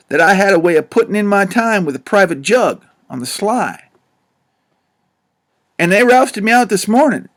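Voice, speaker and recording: A man voicing an old bald male con man and preacher with a folksy backwoods drawl in an audiobook. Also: high-pitched. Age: older. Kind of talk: audiobook character voice.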